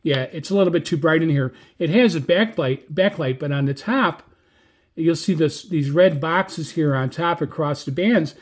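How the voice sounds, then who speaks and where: An American man giving a casual, unscripted product review as a gadget reviewer, with a halting, self-correcting delivery. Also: fairly high pitch. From United States. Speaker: radio reviewer.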